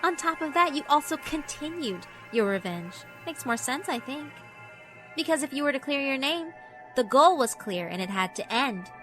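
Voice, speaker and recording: A woman's voice: sits high, sing-song, moderate pace, fairly bright tone, faint music behind. A woman voicing a sinister, cruelly amused female school spirit in a horror visual novel, theatrical and mock-polite. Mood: gleeful, taunting. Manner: theatrical, mock-polite, taunting rhetorical questions.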